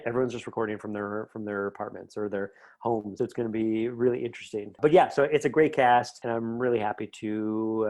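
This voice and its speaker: Low-pitched man, chatty interview guest. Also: a little mellow. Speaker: interview guest, writer and podcast creator. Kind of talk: conversational interview, anecdotes about work.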